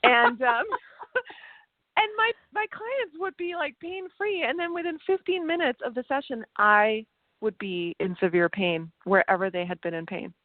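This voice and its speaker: A German-born woman whose first language is German, speaking English without an accent as an interview guest, telling animated stories and restarting her sentences often. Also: a little high, unhurried, no echo. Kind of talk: casual interview chat. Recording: tinny phone-like line.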